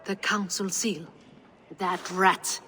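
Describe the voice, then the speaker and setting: A woman's voice: mid-range voice, little pitch movement, slow, sharp tone, slight background noise. An actress playing an earnest, troubled noblewoman in a video game, with a grave, emotional delivery. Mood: intent. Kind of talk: dramatic video game acting.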